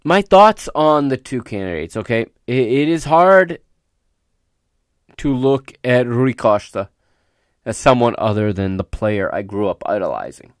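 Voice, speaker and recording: A man hosting a solo sports podcast, speaking off the cuff in an emphatic, halting way, his voice slightly raspy as it recovers from illness. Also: medium pitch, expressive, dry recording, clean studio sound. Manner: emphatic and halting, often checks in with "okay?". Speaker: podcast host. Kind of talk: unscripted solo sports commentary.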